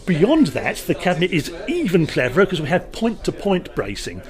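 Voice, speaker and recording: Jocular man pitching a product. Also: wildly swooping pitch, moderate pace. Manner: jocular, emphatic salesman's patter with wry asides. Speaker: loudspeaker company representative. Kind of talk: informal product explanation at a trade show. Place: hi-fi trade show.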